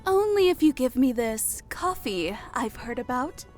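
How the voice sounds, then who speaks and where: A man's voice: very high voice, big pitch swings, measured pace, soft background music, pitched above the performer's own voice, heavily acted. A man voicing a light, playful, kindly female elf sorceress in a fantasy audio story. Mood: playful, teasing. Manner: playful, lilting.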